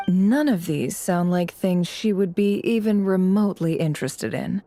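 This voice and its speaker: Actress as a low, mellow, aloof woman. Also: moderate pace, close to an everyday voice. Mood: cool, unimpressed. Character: cool, shrewd, aloof woman. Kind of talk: video game character acting.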